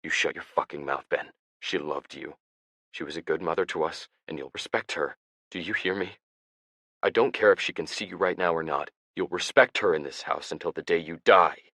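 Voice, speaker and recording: A man voicing an angry, grieving young man who shouts at his younger brother and talks through gritted teeth. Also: deep voice, moderate pace, dry recording, studio quality. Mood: seething.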